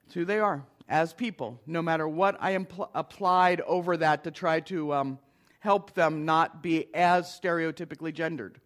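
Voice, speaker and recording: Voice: mid-range voice, normal speed. A middle-aged minister giving a conversational lecture to an audience, with wry asides.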